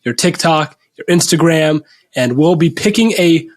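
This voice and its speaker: A man's voice: fairly high pitch, animated, unhurried, soft background music. A young man hosting a casual sports podcast, upbeat and joking as he runs the show. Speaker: podcast host.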